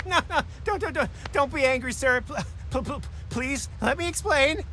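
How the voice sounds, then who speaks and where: An actor playing a smarmy, pushy small-time swindler boss in an anime game, wheedling and theatrical. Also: male voice, very high voice, expressive, measured pace, background noise. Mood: panicked, pleading. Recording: loud music behind.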